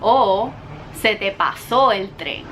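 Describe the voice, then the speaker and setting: A woman's voice: medium pitch, expressive, takes it slowly, a bit bright, slight background noise. A young woman whose first language is Spanish, chatting casually and ranting animatedly about a book, with lots of fillers. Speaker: book vlogger. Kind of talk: casual book review chat.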